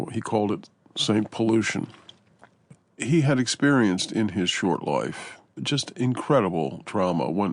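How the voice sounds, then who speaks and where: A man giving reflective literary commentary in a deliberate way, with halting pauses and emphatic phrasing. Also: on the low side, creaky, dry recording.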